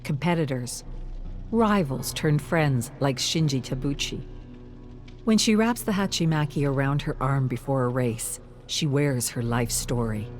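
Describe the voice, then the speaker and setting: A narrator reading a dramatic scripted story in a measured, wistful manner. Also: medium pitch, a little mellow.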